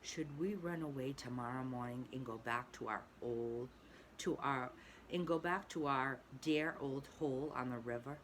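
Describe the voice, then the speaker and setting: A woman voicing a gentle, timid male mole in a storybook read-aloud, speaking softly in a near whisper. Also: very deep voice, moderate pace, slight background noise. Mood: tender whisper. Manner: soft, whispering.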